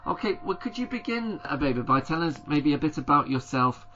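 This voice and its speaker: Bright-toned man hosting a podcast. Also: a little high, animated, muffled recording, soft background music. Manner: measured, asks long framing questions. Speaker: podcast host. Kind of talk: conversational interview.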